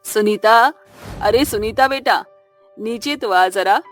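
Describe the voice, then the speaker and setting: A woman voicing a caring, practical middle-aged mother in a moral cartoon story, expressive and motherly. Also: a little high, takes it slowly. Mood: pleased. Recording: background music under the voice.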